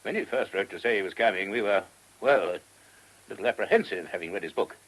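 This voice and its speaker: A monastery abbot in a radio drama, with a lowish voice that moves expressively. He answers courteously and thoughtfully, pausing as he considers. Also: animated, fairly bright tone, rough recording. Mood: reflective, hesitant. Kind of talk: radio play acting.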